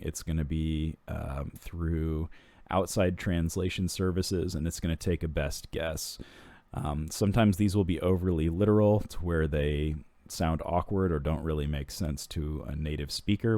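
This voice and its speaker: A man walking through software screens in a tutorial, explaining steps in an unhurried way with frequent ums and restarts. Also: very deep voice, no echo. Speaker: software product walkthrough presenter.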